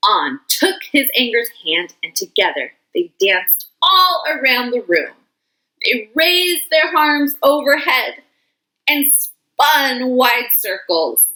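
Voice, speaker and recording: A woman teacher reading a children's picture book aloud in an expressive way. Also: high voice, poor audio. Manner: slow, expressive. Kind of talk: children's storybook read-aloud.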